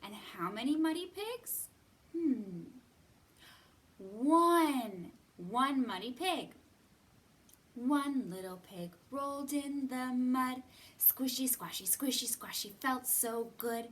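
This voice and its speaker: Slow, high-voiced woman reading to children. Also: expressive. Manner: sing-song, exaggerated, with drawn-out words. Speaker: children's librarian hosting storytime. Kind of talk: expressive read-aloud and counting for young children. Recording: somewhat distant from the mic.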